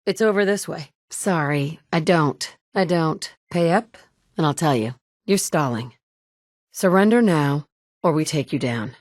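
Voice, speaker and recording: A woman's voice: deep voice, measured pace, a little mellow, dry recording. An actress voicing a tough, direct female survivor in a video game, speaking in a matter-of-fact way in a studio booth. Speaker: player character. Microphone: close studio mic.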